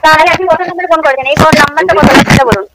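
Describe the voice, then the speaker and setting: A woman's voice: sits high, very fast. A woman with a Bengali accent arguing on the phone, curt and challenging, on a noisy recording. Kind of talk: argumentative phone conversation.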